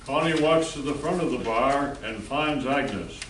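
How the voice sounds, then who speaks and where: A man narrating an amateur play from a script, with deliberate storytelling delivery. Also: medium pitch. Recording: roomy, distant mic with background noise.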